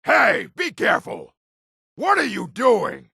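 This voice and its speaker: An actor playing a gruff, combative male orc townsman in a video game, with a rough, husky voice and a blunt, curt way of speaking. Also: very high voice, huge pitch swings, slow, pushed and bright, dry recording, clean studio sound, heavily acted. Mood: angry, threatening. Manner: blunt, curt, threatening delivery. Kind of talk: video game character barks.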